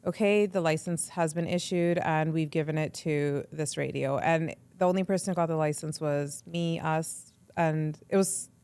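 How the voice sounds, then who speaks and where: A woman's voice: deep voice, steady tone, a little mellow, clean tone, no room sound. Young woman guest, casual storyteller, lots of "like", resonant voice on a dry mic. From Canada. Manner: chatty, quick, says "like" often, acts out her own thoughts. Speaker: guest, radio entrepreneur. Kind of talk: casual podcast interview.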